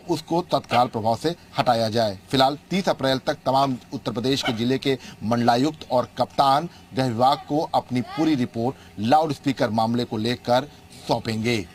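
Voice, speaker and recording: A man reports the news from the field in a medium-pitched voice. Faint location noise sits behind his formal report. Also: a little mellow.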